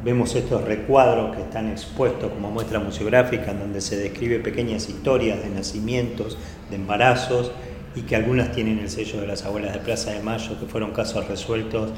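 A man speaks at a medium pitch, explaining and giving testimony. The recording is very roomy, with long reverberation and clear noise around him. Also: steady tone, normal speed. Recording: noisy, echoey hall with long reverb.

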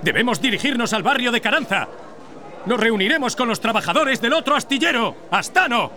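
An actor playing an indignant union leader in a radio drama, speaking in an urgent, rallying way. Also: male voice, very high-pitched, lively intonation, brisk, pushed and bright, a faint crowd, full character voice. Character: fiery male union worker leader.

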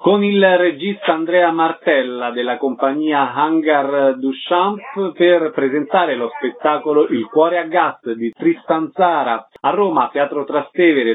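A man hosting a radio interview, speaking in a concise, presentational way. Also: on the high side. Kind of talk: radio interview, announcing show details. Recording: narrow, phone-like radio sound.

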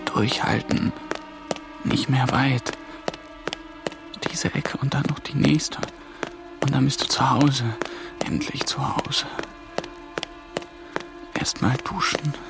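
A man's voice: medium pitch, creaky, full character voice. A young actor playing a hunted male intern in a radio play, muttering anxious thoughts to himself. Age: young adult.

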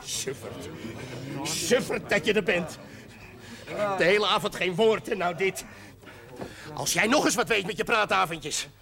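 A man's voice: sits high, a little slow, creaky, long pauses. An actor playing a grumbling, fed-up man who scolds, in a television drama. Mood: irritated. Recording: chattering crowd behind, old TV soundtrack.

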